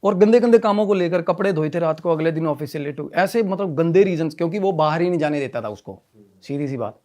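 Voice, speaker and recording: A man telling a story in animated conversational style, often repeating himself and mixing in English phrases. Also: a little high, quick pace. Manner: repetitive, mixes Hindi with English phrases. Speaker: podcast guest telling a ghost story.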